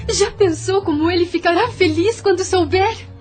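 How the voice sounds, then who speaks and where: A woman's voice: very high-pitched, moderate pace, a little mellow, faint music behind. A woman playing a naive young wife in an audio drama, with emotive, hesitant delivery. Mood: excited. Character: naive, joyful young wife expecting a baby.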